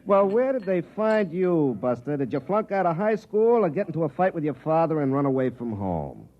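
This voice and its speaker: An actor playing a cynical, hard-drinking veteran army captain in a 1950s radio drama, sardonic and mocking. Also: male voice, fairly high pitch, very animated, moderate pace. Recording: muffled vintage 1950s radio, faint music.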